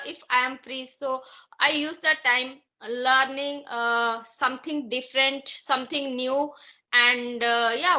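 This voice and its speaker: A woman in her thirties from India, Hindi her first language, talks in English with a high, bright voice. She is friendly and chatty but halting, and fills her sentences with "uh" as she searches for words. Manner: hesitant, many fillers, friendly and chatty. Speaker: guest, English learner. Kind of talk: casual conversation practice on a call.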